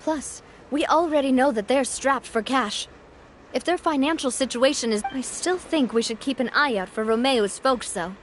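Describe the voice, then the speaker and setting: A woman's voice: sits high, moderate pace, slight background noise, lightly acted. A woman voicing a young woman who leads a group in a video game, firm, composed and sincere. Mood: thoughtful. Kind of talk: game voice acting. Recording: game audio with faint music behind.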